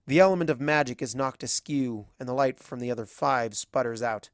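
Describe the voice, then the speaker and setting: A narrator reading fan fiction aloud as expressive scripted story narration. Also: mid-range voice, a little fast, dry recording, clean studio sound.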